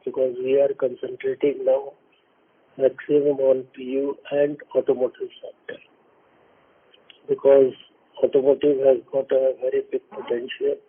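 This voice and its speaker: A man with an Indian accent speaking off the cuff as a company executive on a conference call, in deliberate, halting phrases that often repeat. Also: medium pitch, little pitch movement, husky. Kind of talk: unscripted earnings call remarks. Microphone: telephone conference line. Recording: narrow tinny phone-line audio, muffled.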